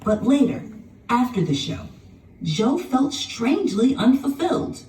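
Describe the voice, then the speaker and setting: A woman narrating a children's storybook in an expressive, dramatic scripted style. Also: fairly high pitch, measured pace, a little hiss or hum. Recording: roomy, distant sound with music behind.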